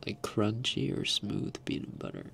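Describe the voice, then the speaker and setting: A young man hosting an ASMR live stream, answering chat in a soft, half-whispered, slightly raspy voice and laughing often. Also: on the low side, huge pitch swings, slow pace. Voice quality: a little raspy.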